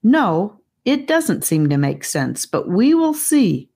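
A woman's voice: medium pitch, sing-song, slow, mellow, pitched above the performer's own voice, full character voice. A woman voicing a serious ten-year-old boy guard in a storybook reading. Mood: serious, wary.

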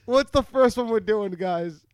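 A man's voice: high voice, a little slow, a crowd in the background. A man hosting a casual comedy podcast in a playful, jokey way. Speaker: podcast host.

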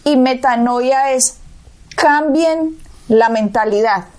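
A woman's voice: high voice, slow pace, a little mellow. A Colombian woman co-hosting a Bible talk show, teaching emphatically and asking rhetorical questions. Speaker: co-host.

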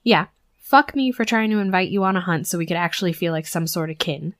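A woman's voice: fairly low pitch, brisk, a bit bright, no echo, clean studio sound, close to an everyday voice. A young woman playing a brash, sweary tsundere werewolf bully who scolds with grudging protectiveness, in a scripted audio roleplay. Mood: irritated, mocking. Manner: scolding, sarcastic, sweary, exasperated. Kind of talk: scripted first-person roleplay audio acting.

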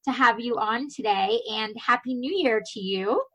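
A woman's voice: on the high side, normal speed, a bit bright. A woman in her thirties hosting an interview show, gushing and chatty with lots of "you know" and "like". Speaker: show host. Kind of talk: casual interview chat.

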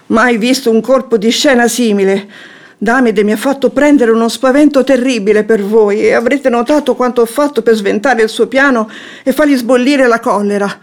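A woman's voice: on the high side, normal speed, close to an everyday voice. Eloquent, scheming wife in classic stage comedy played by a woman. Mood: coy, confiding. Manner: formal, eloquent, theatrical phrasing. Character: shrewd, composed wife feigning seductive affection to trap a hypocrite. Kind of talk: theatrical radio drama acting of classic comedy.

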